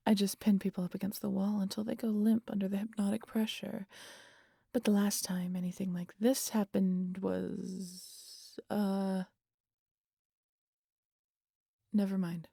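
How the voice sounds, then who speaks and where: Girl doing a snarky guarded vampire lady for audio rp, raspy, breathy whispery bits, teasing n fed up, really dry close recording. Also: mid-range voice, dark tone, no echo. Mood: wry, reluctant. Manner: teasing, exasperated, breathy asides dropping into whispers. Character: sardonic, guarded female vampire hypnotizing an injured hunter. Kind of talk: intimate audio roleplay acting.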